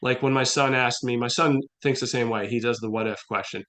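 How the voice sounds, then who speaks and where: A middle-aged man speaks in a bright, forceful voice at an ordinary male pitch. He chats informally as an interview guest, an animated storyteller who slips into quoted dialogue. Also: a little fast. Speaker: guest playwright. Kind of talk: casual conversational interview.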